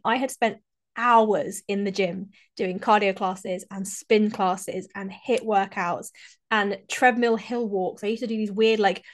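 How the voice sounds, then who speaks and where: Chatty woman with a slight cold. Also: mid-range voice. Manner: chatty, enthusiastic, repeats and restarts phrases. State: slightly congested, recovering from a cold. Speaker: podcast host and fitness coach. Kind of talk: solo podcast talk.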